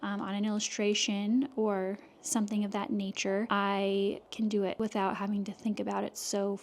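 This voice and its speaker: A soft-spoken woman chatting casually to camera in her home art studio, talking through her work with lots of fillers. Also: mid-range voice, monotone, normal speed. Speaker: illustrator vlogger. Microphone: external microphone.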